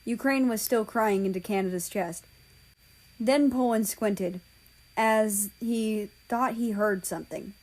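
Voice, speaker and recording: Slow-paced woman narrating a story. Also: mid-range voice, darkish tone, low-quality recording. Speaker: amateur story reader.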